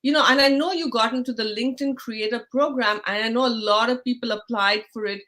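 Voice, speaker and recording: A middle-aged woman with an Indian accent hosts a live online interview, her voice sitting a little high. She talks in a chatty, loose way, with many fillers and restarts as she gathers her thoughts. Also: fairly quick, pushed and bright. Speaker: host.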